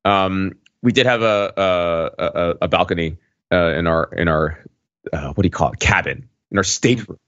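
A middle-aged man chatting casually on a podcast, telling stories in a halting way with many pauses. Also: low-pitched, a bit bright, dry recording, muffled recording. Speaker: podcast panelist. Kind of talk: casual conversational storytelling.

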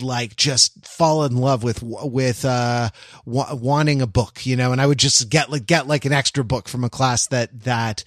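A man in his forties chatting casually and reflectively as a podcast panelist, in a halting, rambling, wry storytelling manner. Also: mid-range voice, fairly bright tone, no echo, studio quality. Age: 40s.

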